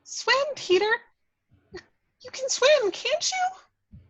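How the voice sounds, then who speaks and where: A woman's voice: extremely high pitch, very animated, drawn-out words, fairly bright tone, voice pushed up, heavily acted. A woman voicing a bold, feisty little fairy in a script read-through, emphatic and goading. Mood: anxious pleading.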